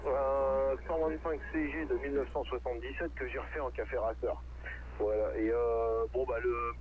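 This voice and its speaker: A man's voice: medium pitch, steady tone, normal speed. A man chatting casually as a hobby radio operator, rambling in a colloquial way. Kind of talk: casual chat on amateur radio. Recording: narrow tinny radio link, faint noise.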